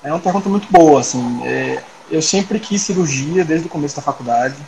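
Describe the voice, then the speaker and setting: A man, chatting as a guest surgeon in a relaxed interview over a video call. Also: a little high, a little fast, vocal fry. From Salvador, Bahia, Brazil. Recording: noisy home video call audio.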